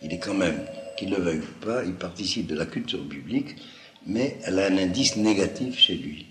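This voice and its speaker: Deep-voiced man lecturing in an echoey room. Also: lively intonation. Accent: slight southwestern French (Toulouse-like). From Algeria. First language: Judeo-Arabic. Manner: didactic, halting, repeats phrases for emphasis. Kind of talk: extemporaneous lecture to listeners. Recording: roomy echo, faint background noise.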